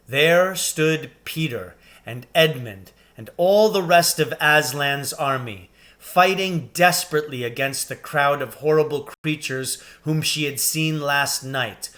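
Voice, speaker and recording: A man reading a children's story aloud with expressive, deliberate and dramatic phrasing. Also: on the high side. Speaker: reader, teacher reading aloud.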